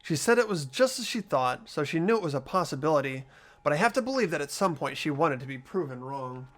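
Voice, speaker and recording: Man playing an earnest, high-pitched teenage schoolboy. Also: a little fast. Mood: pensive. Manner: stammering, expressive. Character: earnest, easily startled teenage schoolboy who narrates. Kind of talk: amateur voice acting in a visual novel playthrough.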